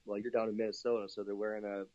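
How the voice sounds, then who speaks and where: A man's voice: fairly low pitch, flat delivery, racing, a little mellow. Upbeat Canadian man on a dull line. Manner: upbeat, chatty, laughs often, many fillers. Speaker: interview guest. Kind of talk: casual interview talk.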